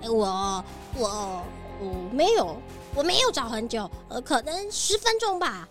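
A woman voicing an anxious, stammering nanny in a children's audio drama, with soft music underneath. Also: on the high side, very animated, a little slow. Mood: flustered. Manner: flustered, stammering.

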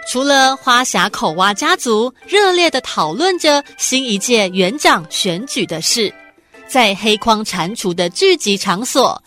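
A woman's voice: a little high, animated, a little slow, fairly bright tone, faint music behind. A woman narrating a radio drama in a scripted storybook style.

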